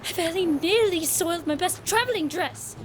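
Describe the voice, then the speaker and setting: A woman's voice: very high voice, normal speed, background noise. A woman playing a sarcastic, defiant young noblewoman explorer in an audio drama, with a haughty, theatrical manner. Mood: indignant.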